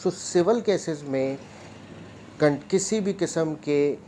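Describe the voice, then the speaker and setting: A man's voice: on the high side, takes it slowly, dark tone, slight background noise. A man with a Pakistani accent lecturing on law in an explanatory, didactic way, mixing in English legal terms and repeating key points for emphasis.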